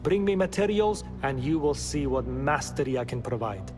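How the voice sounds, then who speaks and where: An actor playing a proud, helpful inventor in a video game, courteous and precise. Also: male voice, mid-range voice, lively intonation, normal speed, close to an everyday voice. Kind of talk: video game cutscene acting. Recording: long hall reverb under music.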